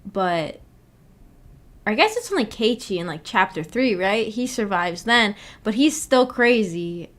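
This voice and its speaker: A woman with a medium-pitched voice chats casually as a livestream host. She thinks aloud in a rambling, hesitant way, feeling her way through each thought. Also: sing-song, a little slow, fairly bright tone.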